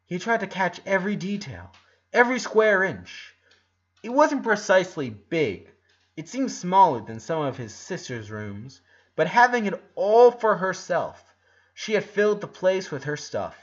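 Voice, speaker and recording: A man reading a story aloud as an expressive narrator. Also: sits high, unhurried, muffled recording. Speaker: fan fiction narrator.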